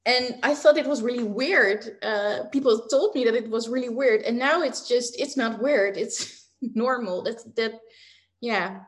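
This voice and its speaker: 40s woman, writing teacher guest, talks in loose rambly bits, laughs a lot, kinda high voice. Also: unhurried. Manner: chatty, laughs often, many fillers and restarts. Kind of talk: casual interview chat.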